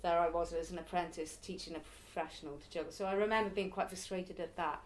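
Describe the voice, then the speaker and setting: A woman's voice: fairly low pitch, steady tone, quick pace, a little hiss or hum. A woman in her early forties, a circus performer and youth circus teacher, answering interview questions in a chatty, reflective way. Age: early 40s. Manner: chatty, reflective, laughs now and then.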